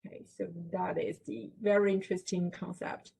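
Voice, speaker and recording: A woman's voice: mid-range voice, little pitch movement, normal speed, creaky, mellow, slightly rough. A woman from China with a Chinese accent, giving a talk at a library book event; she explains concepts step by step, checks in with her listeners and laughs lightly. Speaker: guest lecturer.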